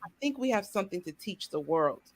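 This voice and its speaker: A woman's voice: mid-range voice, very animated, brisk. A woman speaking earnestly as a panelist, speeding up when she gets stirred. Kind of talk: panel discussion.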